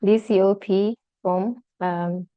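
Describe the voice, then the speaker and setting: A woman moderating an online meeting, talking in a halting way with many filler pauses. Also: mid-range voice, fairly even intonation, clear, resonant tone, no echo. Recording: dull lo-fi video-call audio.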